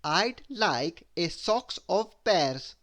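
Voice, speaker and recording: A man voicing a polite, muddled, odd gentleman from a children's storybook, speaking carefully and earnestly. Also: sits high, very animated, drawn-out words, heavily acted. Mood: polite request.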